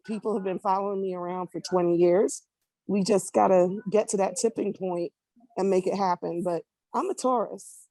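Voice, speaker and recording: A woman's voice: fairly low pitch, warm tone, no echo, rough recording. A middle-aged woman from Barbados chatting casually as an interview guest, informal and emphatic, often repeating phrases. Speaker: guest, event promoter and curator.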